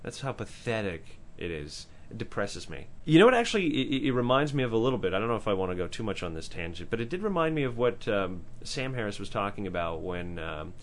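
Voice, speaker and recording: A man with a powerful voice co-hosting a podcast in casual discussion, talking in an animated way with swearing, restarts and asides. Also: on the low side, fast, hardly any pauses. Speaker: podcast co-host.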